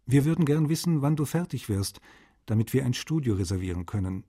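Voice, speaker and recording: A caring, fatherly translator reads letters to his friend for a radio feature, gently nagging. His voice is dark and husky, sitting at an average pitch. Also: animated, fairly quick. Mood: concerned, businesslike. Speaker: actor reading letters.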